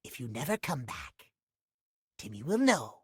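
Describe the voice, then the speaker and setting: A small but menacing video game villain, in a high, androgynous voice that swings widely in pitch. He is boastful, possessive and resentful, taunting at a slow, deliberate pace. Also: huge pitch swings, breathy edge, no room sound, studio quality, heavily acted. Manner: speaks of himself in third person, menacing, taunting. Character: sinister, possessive hidden clock alter ego, boastful and resentful. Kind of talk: video game character acting.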